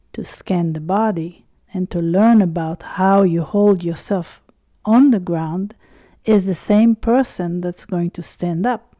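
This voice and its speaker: Female meditation guide, low-pitched and very soft-toned, soothing delivery in short phrases, recorded close and dry. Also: dry recording. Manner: soothing, unhurried, with long pauses between short phrases. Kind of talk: slow guided body-scan meditation.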